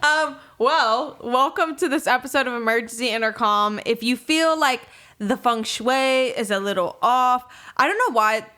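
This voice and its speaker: A woman's voice: fairly high pitch, moderate pace, sharp tone. Blunt woman bantering as a podcast host. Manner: self-deprecating, blunt and profane.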